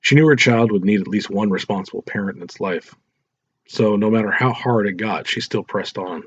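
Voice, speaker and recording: A man reads a story aloud as narrator. His voice is fairly low and husky. The home recording is dull and lacks crispness. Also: fairly quick, no room sound. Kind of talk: scripted audiobook narration.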